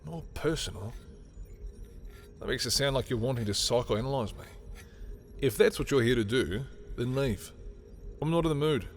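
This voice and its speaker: A sardonic male villain, acted by a man for an audio roleplay, petty and theatrical. He speaks in sarcastic taunts to a familiar rival at a medium pitch. Faint music plays behind the voice. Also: expressive, normal speed, long pauses, lightly acted. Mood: irritated, dismissive. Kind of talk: scripted audio roleplay acting.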